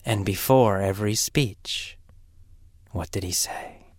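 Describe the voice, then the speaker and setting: A middle-aged man who teaches English, telling a simple story to learners slowly and with lots of repetition. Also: fairly low pitch, no echo. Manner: slow, animated, with heavy repetition for learners.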